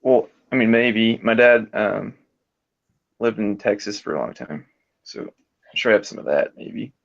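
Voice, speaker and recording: A man's voice: lowish voice, expressive, unhurried, gravelly. A man from Colorado chatting casually in a group, easygoing and a bit rambling. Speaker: chat participant. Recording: dull lo-fi recording.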